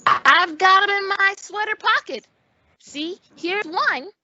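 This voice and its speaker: A woman's voice: extremely high pitch, a little slow, pushed and bright, no room sound, heavily acted. A woman playing an eager, gawky teenage schoolboy in an old-time radio comedy, earnest and gee-whiz. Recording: dull lo-fi radio drama audio.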